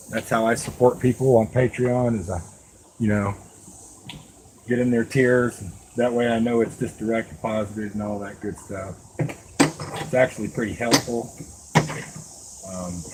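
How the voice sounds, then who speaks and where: Casual, folksy man rambling over background noise. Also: mid-range voice. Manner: rambling, folksy, addresses viewers directly. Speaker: live streamer and knife maker. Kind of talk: casual live stream chat while working.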